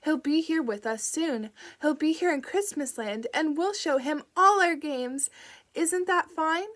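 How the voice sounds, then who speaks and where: A woman's voice: sits high, a little slow, a bit bright, voice pushed up. A woman voicing an eerie little girl who speaks in a sweet, sing-song and menacing way. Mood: sweetly eerie.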